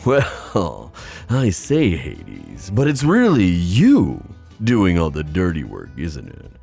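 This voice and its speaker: A man playing a playful, scheming sea god in an ASMR fantasy roleplay, theatrical and teasing, musing aloud in drawn-out phrases. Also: low voice, wildly swooping pitch, creaky, mellow, faint music behind, exaggerated voice. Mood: musing, condescending.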